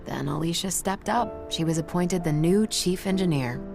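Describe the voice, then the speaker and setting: A confident mech test pilot in a video game cutscene. Her voice is on the low side and noticeably creaky, casual and plain-spoken, with music in the background. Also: moderate pace. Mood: bitter, grave. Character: brash, loyal female ace test pilot of mechs.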